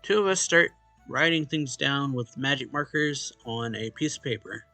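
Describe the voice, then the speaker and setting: Casual man reading aloud, gravelly edge. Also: mid-range voice, normal speed, sharp tone, no room sound. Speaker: let's play host reading a visual novel aloud. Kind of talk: casual read-aloud of game dialogue and first-person narration. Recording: game music behind the voice. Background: game music.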